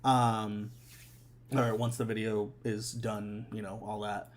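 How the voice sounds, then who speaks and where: A man's voice: lowish voice, little pitch movement, slow, a little hiss or hum. A young adult man hosting a casual live stream, rambling with many fillers and restarts. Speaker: streamer and host.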